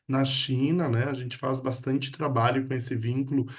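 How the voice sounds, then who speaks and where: A man's voice: mid-range voice, little pitch movement, moderate pace. Man moderating a webinar. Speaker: webinar host.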